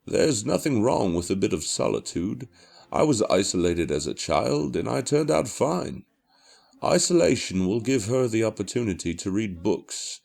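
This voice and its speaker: A man plays an older, hard-drinking father in an audio horror drama. His low voice creaks and swings widely in pitch, brushing worries aside with tipsy affection. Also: darkish tone, heavily acted. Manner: dulled with liquor, dismissive, soothing.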